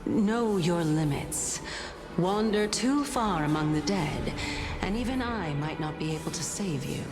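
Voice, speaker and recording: Game sage: slightly low, unrushed, haughty, sharp-tongued, theatrical, poetic. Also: female voice, lively intonation, measured pace, music in the background. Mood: concerned. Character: proud, sharp-tongued female sage, poetic and averse to exertion. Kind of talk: English video game voice acting.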